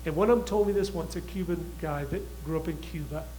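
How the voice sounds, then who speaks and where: Guest man, fairly high voice, urgent and emphatic delivery, faint hum behind. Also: a little fast, warm tone, poor audio. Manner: urgent, emphatic, with restarts and retold quotes. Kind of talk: impassioned talk show monologue.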